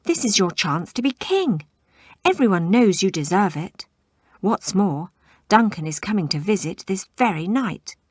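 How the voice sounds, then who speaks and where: A woman's voice: mid-range voice, big pitch swings, measured pace, husky, no room sound, clean studio sound. A woman voicing an ambitious storybook noblewoman who coaxes and goads her husband. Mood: scornful urging. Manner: coaxing, scornful.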